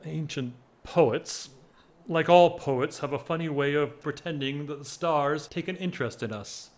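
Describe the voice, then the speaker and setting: An actor playing a weary, lovelorn upper-class gentleman in a period audio drama, speaking with formal, wistful wryness. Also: a little high, lightly acted. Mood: restrained, wistful. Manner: formal, wistful, wry, measured speech. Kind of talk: stage-style period radio drama acting.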